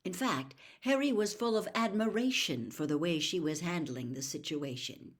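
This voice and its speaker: A woman reading a children's fantasy novel aloud as an expressive storybook narrator. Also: on the low side, moderate pace. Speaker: audiobook reader.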